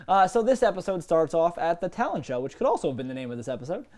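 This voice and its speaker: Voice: medium pitch, sing-song, fast, a little mellow. A podcast co-host chatting casually through a TV episode recap, opinionated and given to mock-exasperated griping.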